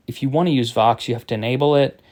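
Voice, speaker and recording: A man giving an informal step-by-step tutorial as a product demonstrator, explaining in a halting, matter-of-fact way. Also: medium pitch, darkish tone.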